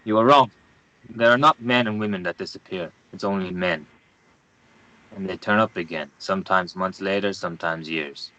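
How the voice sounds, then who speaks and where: A man's voice: low voice, moderate pace, bright, forceful tone, rough recording. A man playing a curt, grave Guatemalan newspaperman in his thirties at a screenplay table read. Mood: curt, dismissive.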